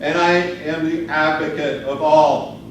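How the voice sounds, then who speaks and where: A man's voice: on the high side, fairly even intonation, close to an everyday voice. Man playing a pompous condor, echoey and distant. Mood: grandly proclaiming. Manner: pompous, declamatory, drawn-out. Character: self-important talking condor, grand advocate of endangered animals. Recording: distant, echoey, noisy live room recording.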